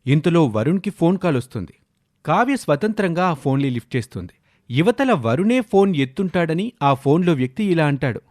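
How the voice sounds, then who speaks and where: A man's voice: fairly high pitch, very animated, fairly quick, a little mellow. A man narrating a scripted family drama story, linking the characters' dialogue.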